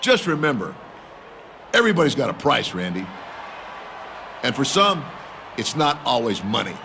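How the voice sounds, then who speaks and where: A man's voice: mid-range voice, very animated, normal speed, creaky, background noise. A man playing a rich, condescending older wrestling veteran in a video game, smug and lecturing.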